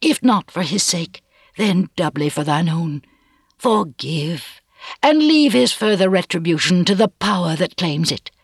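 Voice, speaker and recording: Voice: medium pitch, lively intonation, measured pace, husky, no room sound, clean studio sound, pitched above the performer's own voice. A reader voicing an anguished but resolute, dignified woman with solemn, archaic, pleading speech in a storybook reading.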